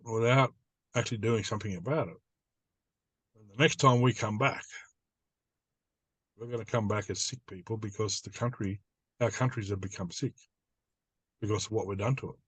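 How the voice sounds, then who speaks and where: Older Australian male elder, mid-pitched, plain-spoken informal teaching, close and dry recording. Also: fairly bright tone, no room sound, low-quality recording. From New South Wales, Australia. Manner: plain-spoken, repeats phrases in simple lists.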